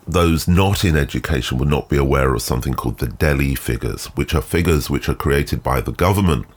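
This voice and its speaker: A man hosting a solo photography podcast, sounding nasal and congested from a cold, speaking in a measured, thoughtful way with frequent ums. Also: very deep voice, breathy edge. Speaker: podcast host. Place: garden shed.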